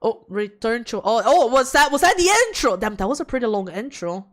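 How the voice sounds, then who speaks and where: A woman streaming a game, reading aloud and reacting with playful, slangy, expressive asides. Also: a little high, a little fast. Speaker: streamer playing a visual novel. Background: background music.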